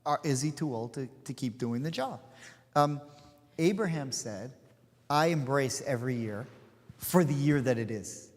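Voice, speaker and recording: A man's voice: medium pitch, lively intonation, normal speed. A man in his forties leading an informal study class, chatty and digressive, with plenty of asides and fillers. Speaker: rabbi teaching a class. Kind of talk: informal study-session teaching. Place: synagogue hall. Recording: hall with long reverb.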